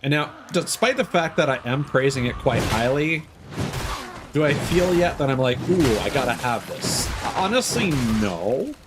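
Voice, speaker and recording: A man's voice: mid-range voice, big pitch swings, normal speed, background noise. A young man streaming a video game, talking casually and frankly, rambling and swearing freely. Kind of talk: casual gameplay commentary.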